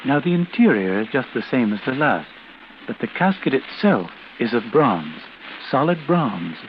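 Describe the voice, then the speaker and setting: An actor playing a solicitous, solemnly courteous mortician with a smooth sales patter in a 1940s radio drama. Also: male voice, medium pitch, sing-song, normal speed, close to an everyday voice. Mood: unctuous, persuasive. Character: unctuous, solicitous mortician selling caskets. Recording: muffled, hissy old radio recording.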